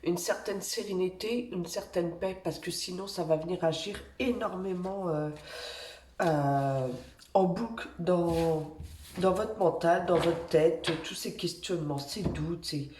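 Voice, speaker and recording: A woman with a cold giving weekly oracle-card guidance as an energy healer, speaking gently and hesitantly with many pauses. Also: on the low side, echoey room, a little hiss or hum. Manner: gentle, reassuring, pauses often while drawing cards. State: has a cold. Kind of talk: slow, hesitant spoken guidance with many fillers.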